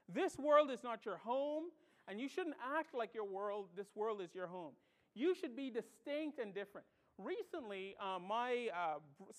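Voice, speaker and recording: A woman from the Bahamas preaching a sermon, emphatic and animated, often firing rhetorical questions at her congregation. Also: medium pitch.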